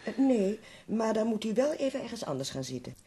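An actress playing a no-nonsense female carer in a radio drama, brisk and scolding yet dutiful, with a very husky voice. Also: a little high, very animated, dark tone. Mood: brisk, impatient.

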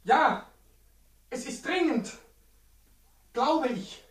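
An actor playing a humble, earnest monk in a radio drama, deferential and hesitant, with an agitated intensity. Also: male voice, very high voice, animated, drawn-out words, slightly rough, exaggerated voice. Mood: anxious, hesitant. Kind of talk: German radio play acting.

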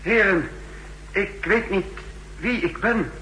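An actor playing a dazed, meek suspect with memory loss, pleading haltingly in a 1960s radio drama. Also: male voice, high voice, bright, forceful tone, exaggerated voice. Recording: echoey, noisy old radio recording.

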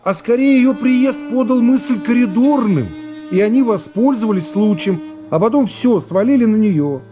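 Irritated, defiant juror for a radio classic, acted by a male reader: insistent, argumentative, raised high pitch. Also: lively intonation, moderate pace, over music. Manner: insistent, pressing questions and arguments. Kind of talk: literary radio reading.

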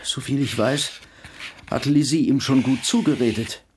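A man's voice: mid-range voice, moderate pace, darkish tone, a little hiss or hum, natural voice. An actor playing a stern, puritanical older father in a radio drama, stiff, formal and disapproving. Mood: reserved. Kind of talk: radio drama dialogue, period literary adaptation.

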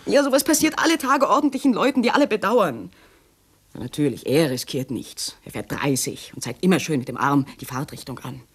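Agitated unhappy wife, vintage radio crime drama, emotionally intense, breathy, very animated delivery. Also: female voice, sits low, fairly quick. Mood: desperate, exasperated. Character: overwrought unhappy wife meeting her secret lover. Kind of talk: 1960s German radio play acting.

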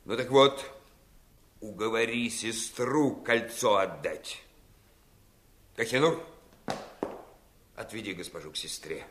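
An actor playing a menacing, mocking pirate lord in a theatrical fairy-tale radio play, wheedling and then threatening. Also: male voice, lowish voice, takes it slowly, long pauses, a bit bright, slight background noise. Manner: wheedling turning to threats, swooping intonation.

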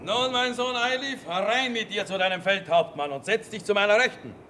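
A pompous army commander with a fairly high voice. He declaims grandly and blusters, gluttonous and pious at once, in old-school theatrical radio drama manner. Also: brisk, sharp tone, slight background noise. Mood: jovial, pompous. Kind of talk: theatrical radio play acting.

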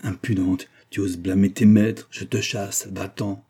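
Storybook role: bitter, haughty mother read by a man, vehement and commanding, dark timbre with a rough edge. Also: sits low, flat delivery, a little slow, heavily acted. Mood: outraged. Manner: imperious, vehement, indignant. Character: haughty, angry matron, bitter grieving mother.

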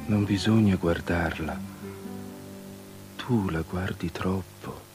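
An actor playing a foreboding page who uneasily warns a friend, ominous and insistent, in poetic radio drama style. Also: male voice, low-pitched, slow. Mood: anxious warning. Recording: radio drama over loud music.

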